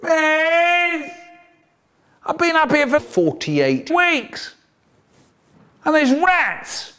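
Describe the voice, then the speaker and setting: A man as a whiny young schoolchild, high voice, pleading, comic storytelling. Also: lively intonation, extremely slow, sharp tone, heavily acted. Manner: whining, pleading.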